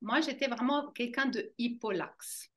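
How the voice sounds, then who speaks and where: Higher-pitched woman, animated storyteller. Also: a little slow. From German-speaking Switzerland. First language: German. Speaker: interview guest, fascia trainer. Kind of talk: conversational personal account.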